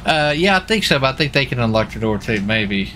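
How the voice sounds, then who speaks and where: A man's voice: medium pitch, big pitch swings, a little fast, crowd noise. A man who is streaming a roleplay video game, talking casually in a folksy, chatty way. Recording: noisy engine and street sounds behind. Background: vehicle and game ambience.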